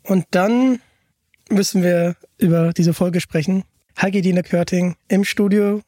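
A man's voice: high-pitched, steady tone, takes it slowly, dark tone, dry recording, studio quality. A man hosting a podcast, chatting casually and with enthusiasm. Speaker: podcast host.

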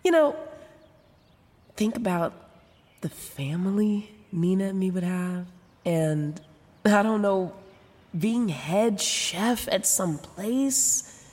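A woman's voice: medium pitch, slow, pauses often, darkish tone. Messy, frank young woman, reverberant sound. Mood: reflective. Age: young adult. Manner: rambling, self-interrupting, sarcastic, casual slangy talk. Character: messy, frank young woman, newly sober, foul-mouthed. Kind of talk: confessional first-person audio drama narration.

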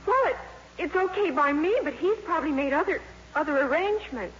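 A woman's voice: sits high, expressive, brisk, a bit bright. An actress playing a dreamy, proud teenage schoolgirl with gushing, girlish talk, in 1950s radio style. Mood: forced breeziness. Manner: breathlessly gushing, girlish slang. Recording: muffled, noisy old radio recording.

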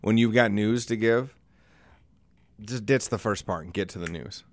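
A man's voice: fairly low pitch, moderate pace, background noise. A middle-aged man hosting a podcast, chatting casually and comedically with a teasing, profane streak. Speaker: podcast host.

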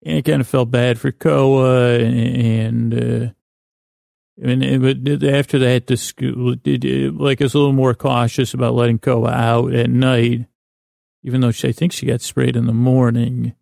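A middle-aged man delivers a rambling sleep podcast monologue in a creaky, gravelly voice. His pitch is fairly average and moves within a narrow span. He hesitates, restarts and drifts off mid-thought, and the sound is close and dry. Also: little pitch movement, measured pace, a little mellow, no echo. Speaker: podcast host.

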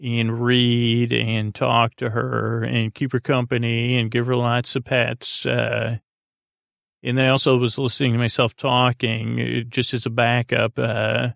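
Male podcast host for bedtime rambling: tired, even-toned delivery with vocal fry, halting and meandering. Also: medium pitch, no room sound, clean studio sound. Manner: meandering, halting, repeats words. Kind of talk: rambling bedtime monologue to help listeners sleep.

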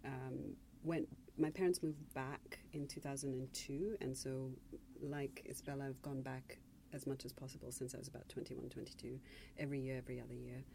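A woman novelist from Zambia speaks in a low voice. She is measured and reflective and restarts her phrases as she builds her thoughts. The panel is recorded with faint background noise. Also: steady tone, vocal fry, dark tone. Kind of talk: panel discussion.

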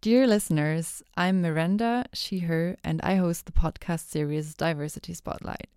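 A woman hosting a podcast interview in a studio, calmly asking open questions. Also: fairly low pitch, moderate pace, clear, resonant tone, no room sound. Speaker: podcast host. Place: recording studio.

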